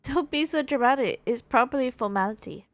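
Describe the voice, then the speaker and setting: A woman's voice: high voice, brisk, a bit bright, no room sound. A woman streaming a game, giving casual commentary in short, reactive interjections.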